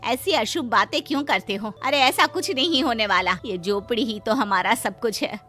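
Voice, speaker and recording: A woman's voice: a little high, normal speed, bright, forceful tone, faint music behind. A woman voicing a poor, devoted housemaid wife, anxious and deferential, in a cartoon moral story. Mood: gently concerned.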